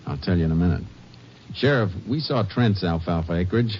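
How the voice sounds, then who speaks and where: An actor playing a shrewd, steady Texas Ranger in a 1950s radio drama, terse, probing and matter-of-fact. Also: male voice, low voice, fairly quick. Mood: businesslike, determined. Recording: muffled, noisy old radio recording.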